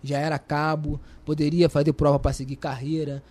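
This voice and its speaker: A Brazilian man with a Brazilian Portuguese accent, a guest telling his story in a lively, colloquial way, full of restarts and repetitions. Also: fairly high pitch, normal speed. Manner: animated, colloquial, repeats and restarts. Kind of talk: casual storytelling.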